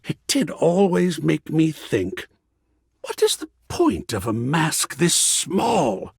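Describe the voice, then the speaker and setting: An actor playing an excitable, kindly, philosophical art gallery curator in a video game, speaking in a florid, theatrical way that savors words. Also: male voice, fairly high pitch, slow, creaky, slightly rough, no echo, studio quality, full character voice. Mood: delighted, amused. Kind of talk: theatrical game character dialogue.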